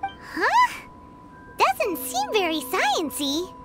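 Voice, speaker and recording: A tiny floating fairy child from a video game, squeaks extremely high with huge swoops in pitch. She is excitable and easily indignant, exclaiming in a childlike way over background music. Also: extremely slow, full character voice. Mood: flustered. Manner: childlike, squeaky, exclamatory, speaks in third person. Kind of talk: scripted video game dialogue.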